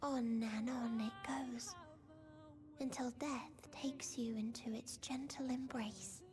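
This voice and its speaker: A woman voicing a gentle, sorrowful, childlike otherworldly girl in a video game cutscene, softly and breathily, with tender, wistful solemnity. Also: fairly high pitch, steady tone, slow pace. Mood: wistful, awed. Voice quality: soft, breathy.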